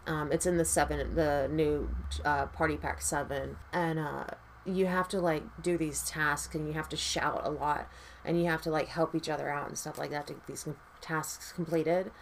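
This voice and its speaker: Female makeup vlogger, low raspy voice, tired, rambling casual chat with easy swearing. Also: steady tone, moderate pace, a little hiss or hum.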